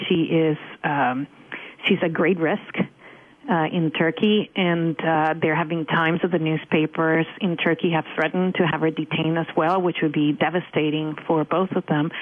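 A middle-aged Cuban American woman with a Cuban accent, answering interview questions earnestly with frequent fillers. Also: sits low. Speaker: religious freedom advocate guest. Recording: tinny phone line.

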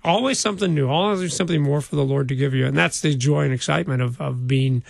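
A man's voice: a little high, fast, vocal fry, no echo. An older man, a pastor, chatting casually about a Bible passage and chuckling often.

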